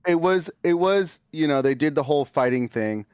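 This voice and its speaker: A man's voice: a little high, lively intonation, moderate pace, darkish tone, clean tone, dry recording. Rambling man on a dull, lo-fi mic. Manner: rambling, full of fillers and restarts. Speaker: podcast guest. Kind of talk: casual podcast chat.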